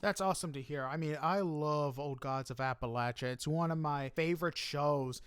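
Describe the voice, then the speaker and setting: Man, slightly high voice, very dry recording, enthusiastic podcast host, casual. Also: normal speed, smooth, dry recording. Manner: informal, enthusiastic. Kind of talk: casual interview chat.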